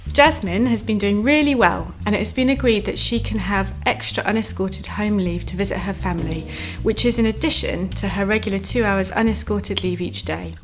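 A woman's voice: medium pitch, moderate pace, soft background music, natural voice. A woman playing a supportive mental health ward professional in a scripted monologue. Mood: pleased, explanatory.

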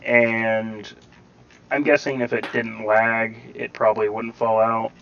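Man, fairly low voice, casual gameplay guide, robotic distortion, muffled lo-fi audio. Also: flat delivery, slow, creaky, a bit bright. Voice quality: robotic, digitally distorted sound. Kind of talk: casual game tutorial commentary. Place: voice-over over game footage. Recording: muffled, robotic-sounding home recording.

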